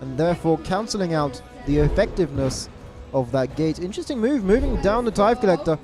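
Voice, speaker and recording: A man giving live play-by-play commentary on a card game match, stressing repeated words and correcting himself quickly. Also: on the high side, lively intonation, music in the background. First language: English. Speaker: esports caster. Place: event venue abroad.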